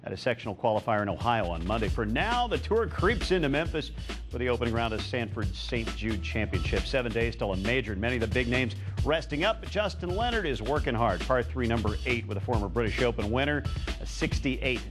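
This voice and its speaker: A man's voice: medium pitch, expressive, fairly quick, over music. A man anchoring television sports news, reading scripted copy in a florid, alliterative broadcast style.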